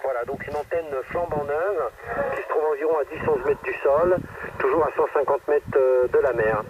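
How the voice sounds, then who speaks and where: A man's voice: on the high side, normal speed, a loud crowd. A talkative man chatting informally about technical gear as an amateur radio operator, heard through a radio receiver. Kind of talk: casual technical chat over radio. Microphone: cheap radio microphone heard through transceiver speaker. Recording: muffled noisy radio reception, distant.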